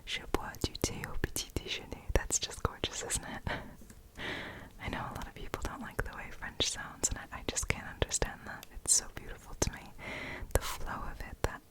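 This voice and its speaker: A young woman whispering softly while she practises a language, musing aloud and chuckling at her own slips. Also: normal speed, low-quality recording. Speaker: ASMR creator.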